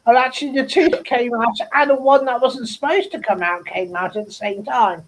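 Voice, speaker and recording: A woman's voice: a little high, moderate pace, slight background noise, poor audio. A woman chatting casually, telling personal anecdotes and laughing along, heard over a video call mic. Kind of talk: casual chat.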